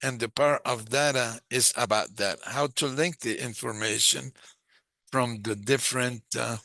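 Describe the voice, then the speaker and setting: A man giving a live interpretation of a webinar discussion, speaking in halting, phrase-by-phrase chunks. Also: mid-range voice, creaky, pushed and bright, no room sound. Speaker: simultaneous interpreter.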